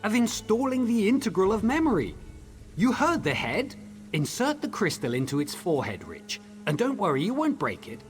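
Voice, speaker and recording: Excitable scholarly researcher, swooping delivery. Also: male voice, high-pitched, moderate pace, soft background music. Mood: excited, instructive. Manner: exclamatory, expressive, explanatory. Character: eager male researcher of clockwork machines, scholarly, enthusiastic. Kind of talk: video game character dialogue.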